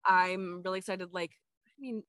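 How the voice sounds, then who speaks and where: A stage actor chatting as a podcast guest, lively and laughing often, with the pitch jumping up when excited. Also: female voice, moderate pace, dry recording, clean studio sound. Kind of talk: casual chat.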